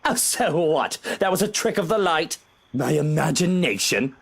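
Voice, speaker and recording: A man voicing an anxious, proud, irritable gentleman from a horror visual novel, with formal, emphatic speech. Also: a little high, expressive, moderate pace, vocal fry, breathy edge, voice pushed up, exaggerated voice. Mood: defensive.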